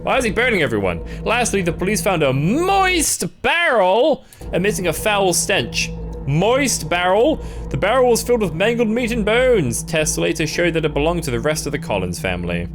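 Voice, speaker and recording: A man with a fairly high voice reacts on a stream with music playing behind him. His pitch swings widely as he reads text aloud and drops in sarcastic, deadpan remarks. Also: moderate pace. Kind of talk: live reaction, reading on-screen text aloud with asides.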